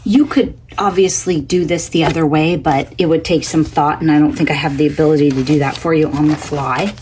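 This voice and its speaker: A woman hosting a casual crafting tutorial, scratchy from a cough, chatty and homey, thinking out loud as she works at her craft table. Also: sits low, fairly even intonation, brisk. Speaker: craft channel host.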